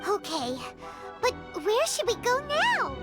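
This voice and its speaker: A woman voicing a small, childlike fairy companion in a video game, chirpy and easily flustered, with a squeaky voice and exaggerated delivery. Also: huge pitch swings, takes it slowly, over loud music, exaggerated voice. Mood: unsure. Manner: refers to self in third person, exaggerated delivery.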